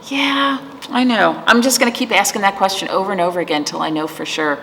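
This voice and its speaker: A woman hosting a storytime for children, reading aloud and chatting in a gentle, playful manner to young listeners. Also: mid-range voice, fairly quick. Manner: gentle, playful, addressing young viewers as friends. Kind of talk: read-aloud and chat for children. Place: indoors, room with aquamarine wall. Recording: echoey room with clear background noise.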